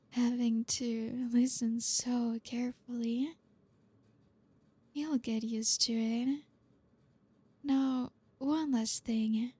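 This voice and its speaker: A woman playing a dominant, flirty boss in an ASMR roleplay, speaking softly and breathily with teasing, deliberate command, close to the microphone. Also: fairly high pitch, level tone, no echo, heavily acted. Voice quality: breathy, soft. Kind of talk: ASMR roleplay, close-mic soft speech. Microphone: close microphone, dry.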